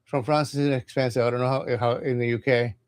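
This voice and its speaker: A man from Cuba, reading tarot cards in a halting, casual livestream chat and often repeating his words. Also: medium pitch, level tone, clear, resonant tone. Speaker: tarot reader.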